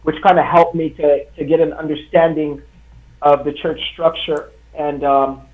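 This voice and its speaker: Young man from Congo, native French speaker, earnest, halting, tinny video call. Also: fairly high pitch, flat delivery. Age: young adult. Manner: earnest, halting, many fillers and restarts. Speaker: guest, author. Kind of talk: live online interview.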